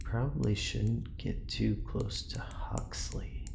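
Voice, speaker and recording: A man playing a guarded man giving cryptic, hushed warnings in an audio drama. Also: lowish voice, slow, vocal fry, in a whisper, exaggerated voice. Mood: quiet warning.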